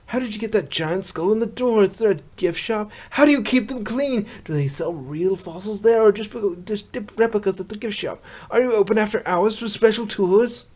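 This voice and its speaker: A man's voice: sits high, normal speed, hardly any pauses, a little mellow. A man voicing a good-natured, pun-loving pony who narrates a fan comic blog in a chatty way. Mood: cheerful. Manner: chatty, eager questioner.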